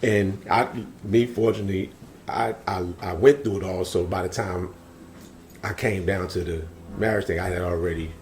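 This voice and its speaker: A man's voice: deep voice, steady tone, moderate pace, darkish tone. A man co-hosting a casual conversation, speaking colloquially and repeating himself to drive a point home. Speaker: podcast co-host. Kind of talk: casual banter and opinion. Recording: faint background room noise.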